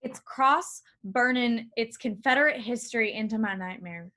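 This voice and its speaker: A woman's voice: on the high side, normal speed, sharp tone. A woman playing a defensive, earnest young college roommate in a staged reading, with a faltering, pleading way of talking. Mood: hurt, accusing. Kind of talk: live staged reading of a play over video call. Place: online video call staged reading.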